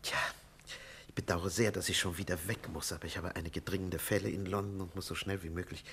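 An extremely deep voice belongs to a grave, guarded doctor in a fifties radio play. He is courteous and formal, feeling his way hesitantly through sentences. The old recording carries faint noise. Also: fairly even intonation, a little fast, a bit bright. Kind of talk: 1950s German radio play acting.

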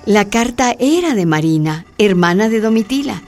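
A woman narrating a radio drama in an even, storytelling way. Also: mid-range voice, normal speed, faint music behind.

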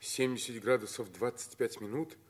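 A man's voice: medium pitch, steady tone, moderate pace, close to an everyday voice. An actor playing a loyal, sensible airman comrade in a 1950s radio drama. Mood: steady, dutiful. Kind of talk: theatrical 1950s radio play acting.

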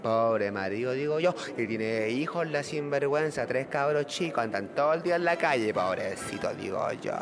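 A man's voice: mid-range voice, natural voice. A man acting a rambling, gossipy Chilean villager in a radio play, colloquial and insinuating. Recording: music behind the voice.

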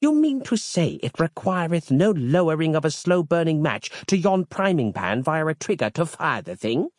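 A man's voice: on the high side, big pitch swings, moderate pace, no room sound, clean studio sound, pitched above the performer's own voice. A man voicing a stern, pious zealot commander who declaims imperiously in archaic speech for an audio story.

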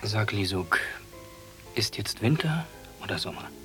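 An actor playing a gentle male doctor examining a child in a radio drama. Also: lowish voice, big pitch swings, a little slow, soft background music, full character voice. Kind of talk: German radio drama acting.

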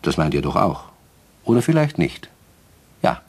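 A man playing the avuncular narrator of a children's radio play, with a husky voice, addressing young listeners directly in a measured way. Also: low voice, very animated, full character voice. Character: avuncular male storyteller of a children's tale. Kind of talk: scripted children's story narration.

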